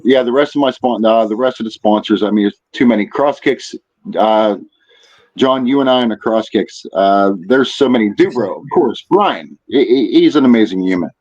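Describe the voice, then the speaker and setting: A man speaking as a podcast guest in casual chat, haltingly, often repeating phrases as he thinks. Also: on the low side, a little mellow, highs cut off. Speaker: podcast guest, fishing tournament organizer.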